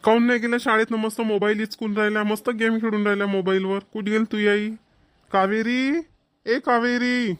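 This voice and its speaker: A man's voice: sits high, little pitch movement, fairly quick, fairly bright tone, smooth. A man playing a broke village father, scolding yet coaxing, in a cartoon comedy with a Vidarbha Marathi accent.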